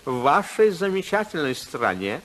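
A man playing a polite, halting Japanese embassy secretary in an old, noisy radio drama. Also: fairly high pitch, very animated, a bit bright. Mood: courteous. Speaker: actor in a radio play. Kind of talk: 1950s radio drama acting. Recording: noisy 1950s radio recording.

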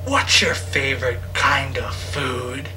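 A man playing a menacing stalker in a horror spoof, taunting slowly and deliberately with accusations. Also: mid-range voice, fairly even intonation, sharp tone, soft background music, exaggerated voice. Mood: creepy, probing. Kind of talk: horror spoof film acting. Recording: distant, faintly noisy amateur film audio.

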